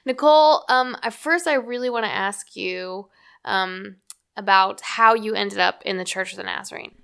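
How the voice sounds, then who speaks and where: Bright-voiced woman hosting a podcast. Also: medium pitch, moderate pace, vocal fry, clear, resonant tone. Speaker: podcast host. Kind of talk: scripted intro and interviewing.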